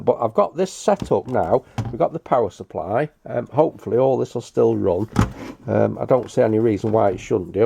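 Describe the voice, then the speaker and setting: A man's voice: medium pitch, gravelly, darkish tone. A middle-aged British man giving an unscripted, matter-of-fact show-and-tell as a hobbyist repairer, with some hesitation. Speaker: radio repairer presenting a hobby video.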